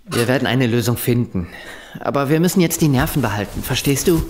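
A man's voice: mid-range voice, expressive, a little fast, slight background noise, natural voice. An actor playing Simon, a firm, reassuring man held captive in an amateur audio drama. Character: Simon, steady man urging calm in a cell.

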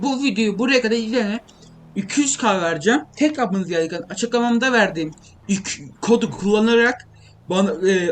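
High-pitched young man rambling over noisy gameplay. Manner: informal, rambling, thinking aloud. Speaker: gaming video creator. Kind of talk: casual gameplay commentary. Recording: clearly noisy home recording, keyboard clicks. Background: typing and keyboard clicks.

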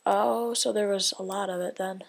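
A woman's voice: medium pitch, steady tone, moderate pace, creaky. A woman giving casual gameplay commentary, thinking aloud in a rambling way and often dropping to a whisper. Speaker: gaming video creator.

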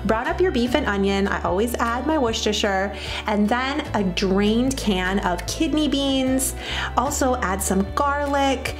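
A woman from the Midwest in her thirties, narrating cooking steps in a cheerful, chatty voice-over. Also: fairly high pitch. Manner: cheerful, chatty, walks through recipe steps. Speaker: cooking channel host. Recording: voice-over under loud music. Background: background music.

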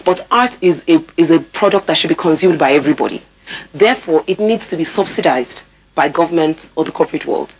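A woman's voice: sits low, fairly quick, slight background noise. A Nigerian-accented woman in her thirties speaking as an interview guest, emphatic and repeating words to drive points home. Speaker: guest, actor and theatre producer. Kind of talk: broadcast interview conversation. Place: indoor TV studio. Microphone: lapel mic.

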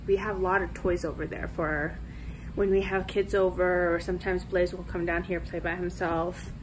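A woman's voice: fairly low pitch, level tone, normal speed. A woman in her thirties giving a casual, conversational home tour as a vlogger, recorded indoors on a handheld camera. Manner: informal, conversational. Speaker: family vlogger. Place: unfinished basement at home, indoors. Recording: clearly noisy handheld camera audio.

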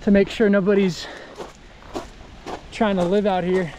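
A man exploring an abandoned building, giving low-key running commentary into a body-worn camera as he walks. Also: flat delivery, a little slow, a little mellow, a little hiss or hum. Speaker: urban explorer. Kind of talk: walkthrough narration while exploring. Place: outdoors then inside a large empty building. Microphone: body-worn action camera.

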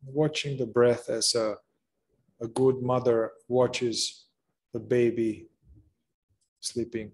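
A man leading a guided meditation, speaking gently in short phrases with long pauses between them. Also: medium pitch, level tone, slightly rough. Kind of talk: slow guided meditation narration.

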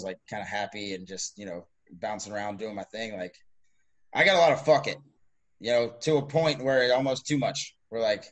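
A man in his mid twenties, a college strength coach co-hosting a podcast, rambling in an upbeat casual chat with many fillers. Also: mid-range voice. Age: 20s.

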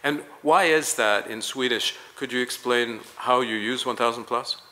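A man interviewing a guest for a promotional video, asking polished, leading questions at a measured pace. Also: medium pitch, bright, forceful tone, rough recording.

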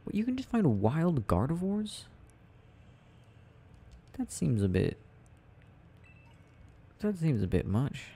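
A man playing a sleepy, tender boyfriend in a soft ASMR roleplay, murmuring to himself as he games and sometimes dropping into a whisper. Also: fairly high pitch, wildly swooping pitch, slow pace, pauses often, slightly rough, exaggerated voice. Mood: absorbed, mildly puzzled. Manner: murmuring, dropping into whispers, hesitant self-talk. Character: sleepy, tender, sensitive boyfriend rambling while gaming. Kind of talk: soft intimate ASMR roleplay, unhurried rambling.

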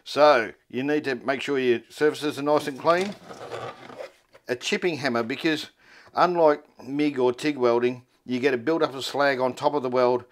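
A man with an Australian accent and a mid-pitched voice narrates a practical tutorial. He explains things informally, step by step. His wording is colloquial and his way of talking is laid-back. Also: steady tone, moderate pace, slight background noise. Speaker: presenter of a four-wheel-drive repair tutorial.

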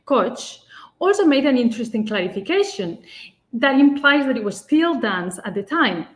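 Woman, 30s, fairly high voice, lecturer reading carefully from notes over a webcam call. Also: sing-song. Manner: careful, measured delivery with occasional restarts. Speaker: fortepianist presenter. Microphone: webcam on a video call.

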